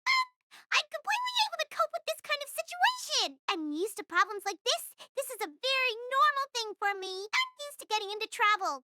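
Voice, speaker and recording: A woman's voice: extremely high pitch, lively intonation, a little fast, few pauses, pushed and bright, no room sound, heavily acted. A woman voicing a prim, prickly elementary school girl who speaks in formal, haughty, blunt sentences in an acted character performance. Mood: stubborn, cold. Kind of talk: acted dialogue, animated-character delivery.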